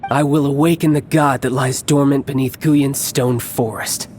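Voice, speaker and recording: An actor playing a cocky, battle-hungry young villain in a video game cutscene, speaking in a measured, smug and theatrical way with formal phrasing. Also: male voice, medium pitch, fairly even intonation, background noise, lightly acted. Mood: scheming, menacing.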